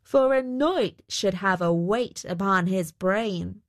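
A woman's voice: medium pitch, slow, no room sound, studio quality. A woman voicing a boastful male weaver who fancies himself a knight, speaking in grand storybook declarations. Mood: proud, grand. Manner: grand, cheerfully self-important declarations. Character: boastful male weaver turned self-styled wandering knight. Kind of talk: storybook character voice.

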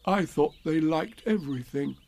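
A man voicing a mild, polite, slightly puzzled toy bear in a children's storybook, with gentle, earnest delivery. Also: on the high side, lively intonation, moderate pace, voice pushed up.

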